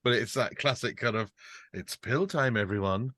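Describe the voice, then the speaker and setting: A man on a script reading panel, chatting casually with quick, wry asides. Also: mid-range voice, bright, forceful tone, dry recording.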